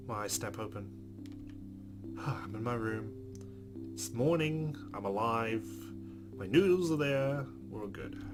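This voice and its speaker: A man reading a visual novel aloud as a let's play host, with casual asides. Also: medium pitch. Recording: clear game music behind.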